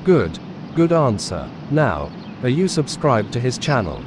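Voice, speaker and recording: A man's voice: mid-range voice, big pitch swings, measured pace. A man doing a mock-aggressive, profane comedic skit in a bullying manner. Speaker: video channel host. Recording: clear street and vehicle noise.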